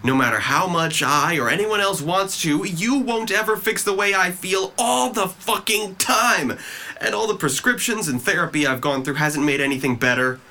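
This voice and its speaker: A man's voice: sits high, expressive, moderate pace, sharp tone, full character voice. A young man playing a bitter, sarcastic, depressed young man in an audio drama, speaking in a defensive, emphatic way. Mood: angry, despairing. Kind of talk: audio drama dialogue acting.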